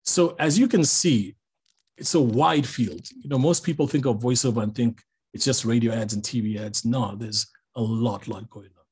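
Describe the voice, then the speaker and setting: Middle-aged male voice-over pro, mid-pitched, a little husky, relaxed and chatty, online class over a video call. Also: normal speed. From Zambia. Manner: relaxed, chatty, jokes aside to the audience. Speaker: voice-over artist teaching a master class. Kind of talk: online master class talk.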